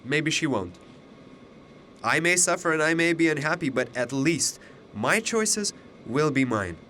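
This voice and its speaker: A man's voice: a little high, lively intonation, unhurried, sharp tone, slight background noise. An actor in a radio drama playing an anxious but defiant young male university student, questioning with earnest emotion. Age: young adult.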